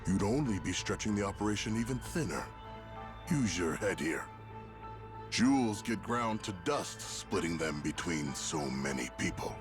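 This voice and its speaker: Video game villain, male: polished, menacing businessman-gangster, formal and superior, deep register, swinging delivery. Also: big pitch swings, normal speed. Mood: cold, insistent. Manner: smooth formal menace, condescending. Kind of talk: dramatic video game voice acting. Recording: game music behind.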